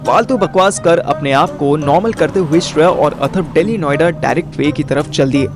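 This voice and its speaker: A man's voice: on the high side, moderate pace, over music. A young man narrating an audio story in the first person in casual, slangy Hinglish, acting out his own dialogue. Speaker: narrator and lead character.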